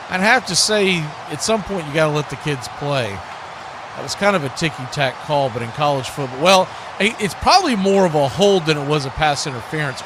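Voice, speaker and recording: A man's voice: a little high, noisy background. An American man giving live football play-by-play with casual, folksy, conversational asides on a livestream. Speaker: play-by-play sports commentator.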